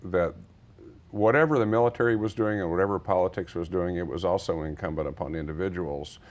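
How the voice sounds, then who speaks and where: Middle aged american guy, really low voice, earnest and kinda rambling, interview guest chatting away. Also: a little fast, highs cut off. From Texas, United States. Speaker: interview guest, nonprofit founder. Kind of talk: conversational television interview.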